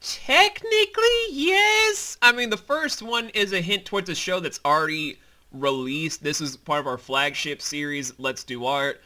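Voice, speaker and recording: A man's voice: a little high, a little slow, sharp tone. A man giving casual behind-the-scenes commentary as creator and host, informal and emphatic, with some swearing.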